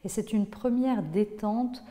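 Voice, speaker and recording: A woman teaching yoga nidra, guiding a relaxation in a measured, instructive way with pauses between phrases. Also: mid-range voice, dark tone. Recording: long hall-like reverb on voice.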